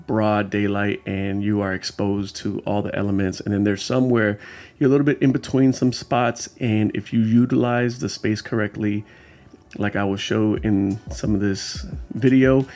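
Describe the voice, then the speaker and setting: Guy w a lower voice doing casual vlog voiceover, chill and chatty, lots of ums, soft music under it. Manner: easygoing, explanatory, with frequent fillers. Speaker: street photographer and channel host.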